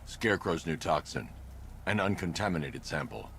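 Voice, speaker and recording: Grim game vigilante, super deep n gravelly, clipped and menacing, some noise behind. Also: male voice, expressive, normal speed, fairly bright tone. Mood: stern, controlled. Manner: terse, stern, commanding. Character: grim masked male vigilante, middle-aged, menacing. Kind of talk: dramatic video game acting.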